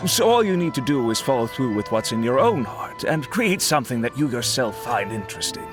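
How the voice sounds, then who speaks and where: A man's voice: medium pitch, sing-song, a little fast, lightly acted. Man as formal, courteous official over music. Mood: apologetic, encouraging. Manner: formal, polite, earnest. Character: courteous, apologetic male festival official, formal and earnest. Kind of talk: scripted game dialogue acting. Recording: music behind the voice.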